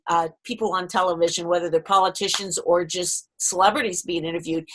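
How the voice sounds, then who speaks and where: A woman's voice: medium pitch, a bit bright. A woman hosting a casual video call workshop, telling stories in a chatty way. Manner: chatty storyteller. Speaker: workshop host.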